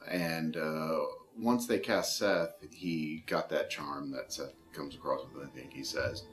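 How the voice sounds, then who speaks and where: A man's voice: a bass voice, fairly even intonation, measured pace, a bit bright, soft background music. A man speaking in a behind-the-scenes film interview about his work. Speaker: film animation crew interviewee.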